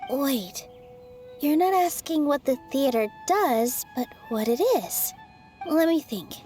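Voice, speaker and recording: An actress voicing a gentle, earnest young woman in a video game, speaking softly and reassuringly. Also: high-pitched, lively intonation, takes it slowly, faint music behind. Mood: thoughtful. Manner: soft, thoughtful, reassuring. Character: gentle young female dancer, earnest and encouraging.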